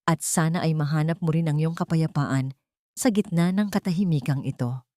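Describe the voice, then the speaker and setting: A woman voicing a gentle, resolute young woman in a love story audio drama, speaking in an earnest, measured, emotional way. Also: sits low, no echo, clean studio sound, close to an everyday voice. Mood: hurt, resolute. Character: young woman, wronged but gentle, resolute and newly free.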